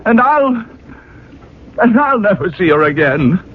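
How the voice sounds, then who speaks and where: An actor playing an earnest, protective young sweetheart in a 1980s radio drama, urgent and melodramatic. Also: male voice, sits high, sing-song, measured pace. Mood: despairing. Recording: tinny, hissy old radio recording.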